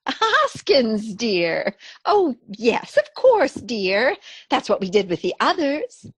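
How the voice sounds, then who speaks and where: An actress playing a sweet, dotty elderly aunt in a comedy radio play, genteel and cheerfully innocent. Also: female voice, a little high, wildly swooping pitch, takes it slowly, breathy edge, no echo, exaggerated voice. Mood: sweetly soothing. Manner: genteel, chirpy, soothing. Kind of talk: stage comedy radio theatre acting.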